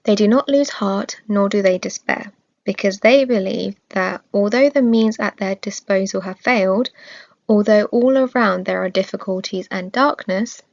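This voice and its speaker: A woman of medium pitch reads scripted religious narration. She phrases things in a measured, orderly way. The recording is a little dull and lacking in top end. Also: vocal fry. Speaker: reader of religious study text.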